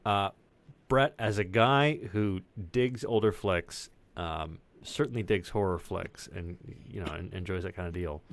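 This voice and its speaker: A man's voice: low-pitched, measured pace. A man co-hosting a casual livestream, putting questions in an informal, rambling way. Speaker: co-host putting questions to a guest. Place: indoors, on a group video call.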